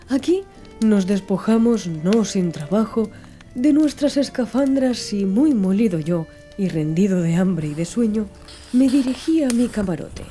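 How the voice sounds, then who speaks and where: A woman narrating a literary audiobook from a script, with measured, deliberate phrasing. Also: mid-range voice, mellow. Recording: music behind the voice. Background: music.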